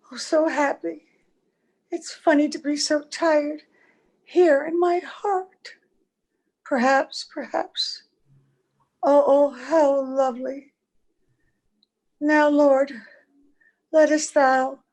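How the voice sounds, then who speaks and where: A woman playing a weary, serene dying woman in a radio play, tender and halting, with a soft creaky voice. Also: high-pitched, steady tone, full character voice, low-quality recording. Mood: tender, blissful. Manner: halting, repeating phrases tenderly. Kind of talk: old-time radio play acting.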